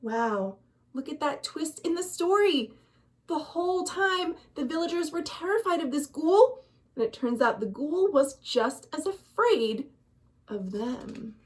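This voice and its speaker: An American woman narrates for children in a high, very animated voice. She takes her time, leaning on words with dramatic emphasis as a librarian at storytime would. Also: measured pace. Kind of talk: expressive children's storybook narration with questions to listeners.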